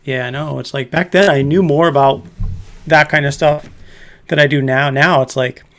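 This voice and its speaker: Gravelly fifty-year-old man, fairly high voice. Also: fairly even intonation, moderate pace, a bit bright. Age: 50s. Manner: rambling, laughs often. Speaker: host. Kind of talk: casual livestream chat.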